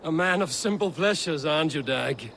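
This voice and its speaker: An actor playing a wary, dry-witted Viking warrior in a video game, terse and dry, over outdoor noise. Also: male voice, fairly high pitch, animated, normal speed, creaky. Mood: wry. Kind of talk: cinematic video game acting. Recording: loud water and outdoor ambience.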